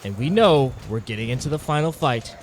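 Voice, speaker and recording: A man narrating video game play in a theatrical, intense documentary style with deliberate phrasing, speaking as the hunter himself. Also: mid-range voice, lively intonation, a little hiss or hum. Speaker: gaming video narrator playing a monster hunter.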